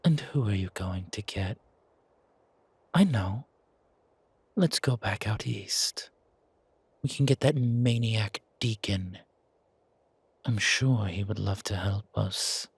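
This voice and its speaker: Casting: male actor as condescending gentleman leader for audio drama, very raspy and low, measured, with veiled threat. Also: no echo, exaggerated voice. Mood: persuading. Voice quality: husky, gravelly. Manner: measured, condescending, softly chiding. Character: older-mannered founder, genteel, patronizing, quietly menacing man. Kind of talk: audio roleplay drama acting.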